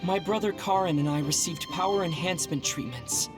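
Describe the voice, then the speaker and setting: A woman playing a composed, determined young soldier in an English game dub, speaking in a calm, reasoned, polite way. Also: on the low side, brisk, close to an everyday voice. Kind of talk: English-dubbed video game cutscene acting. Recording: music behind the voice.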